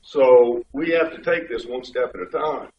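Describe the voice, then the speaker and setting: A man's voice: mid-range voice, moderate pace. Guy with a head cold talking at a board meeting, kinda far from the mic, roomy echo, bit dull and muffled, faint hum behind. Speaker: state highway official. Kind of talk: explaining at a public board meeting. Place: community board meeting room. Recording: dull, echoey room pickup from far off.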